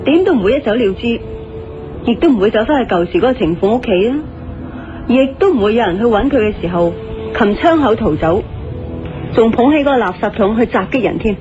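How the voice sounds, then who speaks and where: An actress playing a shrewd, confident wife who reasons like a detective in a Cantonese radio drama, deliberate and assertive. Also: female voice, on the high side, animated, natural voice. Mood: confident, analytical. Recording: dull lo-fi radio recording, music behind.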